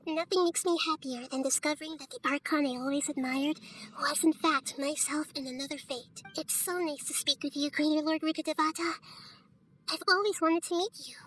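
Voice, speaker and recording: A woman's voice: high-pitched, fairly even intonation, fairly quick, fairly bright tone, a little hiss or hum, poor audio. A woman playing a small childlike young goddess in an anime-style video game, speaking earnestly, inquisitively and with feeling. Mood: wistful, tender. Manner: earnest, inquisitive, emotional delivery. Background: music.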